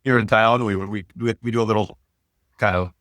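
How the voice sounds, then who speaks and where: A man in his forties who grew up in Turkey and speaks Turkish as his first language, telling stories as an interview guest in a lively, animated way. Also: lowish voice, no room sound, clean studio sound. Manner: fast, animated storyteller. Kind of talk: casual interview storytelling.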